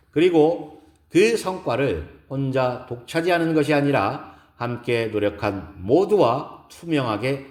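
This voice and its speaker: A man's voice: mid-range voice, pitched above the performer's own voice, close to an everyday voice. Man reading a poised, earnest lecturer, roomy echo. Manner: formal, deliberate, rhetorical. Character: poised, earnest woman executive giving an inspirational lecture. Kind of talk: scripted audio drama speech.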